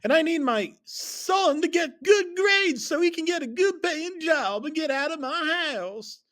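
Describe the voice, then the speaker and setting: Man as an angry mom, very high voice, loud, strict, scolding, comic storytelling. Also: expressive, measured pace, full character voice. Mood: exasperated.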